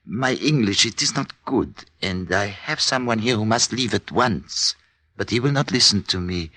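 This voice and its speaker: Animated, anxious French innkeeper. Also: male voice, lowish voice, no echo. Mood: urgent pleading. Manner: hesitant, halting broken English. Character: anxious French wayside innkeeper, apologetic but secretly kind. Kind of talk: 1940s radio acting.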